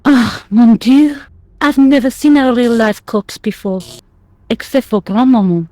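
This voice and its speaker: A woman's voice: on the high side, measured pace, dark tone, close to an everyday voice. An actress playing a blunt, groggy, grumbling French woman who likes a drink, with a French accent, in an adventure game. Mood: shaken, frank.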